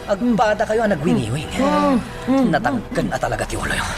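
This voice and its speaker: An actor with an Ilocano Filipino accent playing a stern, short-tempered police interrogator in a radio drama, speaking threateningly. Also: male voice, sits high, big pitch swings, brisk. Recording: music behind the voice.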